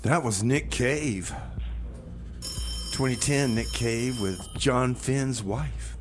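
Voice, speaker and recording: A man's voice: medium pitch, slow pace. A man hosting a community music radio show. He talks casually, in a loose, joking and rambling way.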